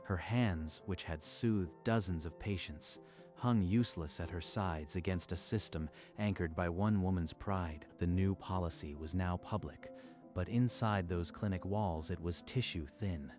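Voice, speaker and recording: Deep-voiced male story narrator. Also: measured pace, soft background music. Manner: steady, even storytelling.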